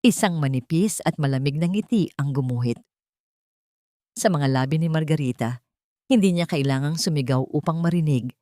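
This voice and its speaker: A woman's voice: deep voice, warm tone, no echo. A woman narrator tells a dramatic scripted story. The recording is dry and close, with no room around her.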